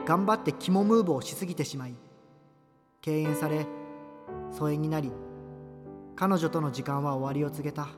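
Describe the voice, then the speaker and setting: An actor playing an awkward, apologetic young subculture nerd in a radio drama, with a hesitant, earnest, wordy way of talking. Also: male voice, on the high side, close to an everyday voice. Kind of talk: naturalistic radio drama dialogue and monologue.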